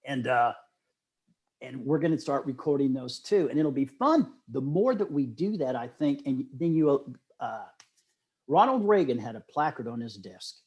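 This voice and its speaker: A middle-aged man telling stories casually as an interview guest, with an animated, enthusiastic manner. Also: mid-range voice, normal speed.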